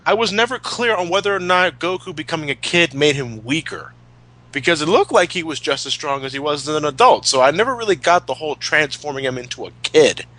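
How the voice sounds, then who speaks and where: A man's voice: a little high, lively intonation, brisk, bright, forceful tone, poor audio. A man chatting casually as a podcast co-host, opinionated and emphatic, with plenty of swearing. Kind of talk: casual chat, retrospective commentary.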